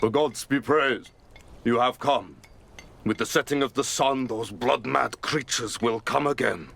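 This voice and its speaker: A man playing a beleaguered Norse village leader in a video game, pleading and deferential in a creaky, hoarse-sounding voice. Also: mid-range voice, measured pace, a bit bright, full character voice. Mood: relieved dread. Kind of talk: dramatic game acting.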